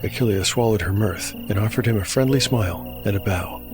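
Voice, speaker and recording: A man's voice: on the low side, fairly quick. A man narrating a scripted fantasy tale as the storyteller and game master. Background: music bed.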